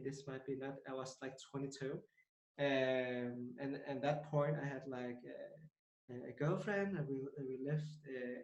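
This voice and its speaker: A man's voice: mid-range voice, darkish tone, roomy sound, low-quality recording. A man in his twenties from Denmark, talking casually as a podcast guest, hesitant with many fillers and restarts. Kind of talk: casual interview talk.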